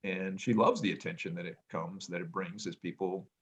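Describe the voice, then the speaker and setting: A middle-aged man musing in a casual family podcast chat, pausing often with ums and restarts and talking in a loud voice. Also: low-pitched. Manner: hesitant, many ums and restarts, reflective; says he has a loud voice. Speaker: podcast co-host, parent.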